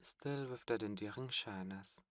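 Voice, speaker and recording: Slow, seductive demon prince, played by a man. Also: fairly low pitch, fairly bright tone, no echo, studio quality. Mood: seductive, possessive. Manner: slow, formal, flirtatious, commanding. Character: haughty, seductive demon prince, courtly and possessive. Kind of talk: ASMR romantic fantasy roleplay.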